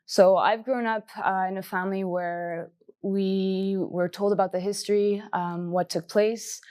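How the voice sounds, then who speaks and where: A woman in her twenties on a panel, answering earnestly in a halting way with many fillers. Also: medium pitch, fairly even intonation, darkish tone. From Canada. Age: 20s. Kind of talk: personal answers in a panel discussion.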